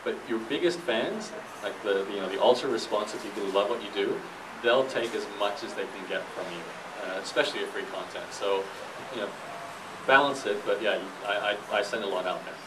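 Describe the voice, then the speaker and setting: A man with a fairly low voice gives an informal talk, explaining things plainly as a guest speaker. He is picked up from some distance, with clear background noise. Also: brisk. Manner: explanatory, repeats questions before answering. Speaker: guest speaker, internet entrepreneur and blogger. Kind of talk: informal talk answering audience questions. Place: live talk in a room with an audience. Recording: noisy live room, distant microphone.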